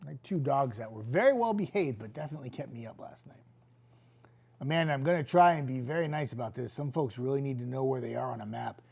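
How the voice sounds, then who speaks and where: A man's voice: mid-range voice, fast talker, creaky, husky. A young man, a TV weatherman who says he is getting sick and losing his voice, chatting casually with viewers and reading their comments. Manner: chatty, easygoing, trailing off into quiet asides. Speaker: TV meteorologist hosting a viewer chat.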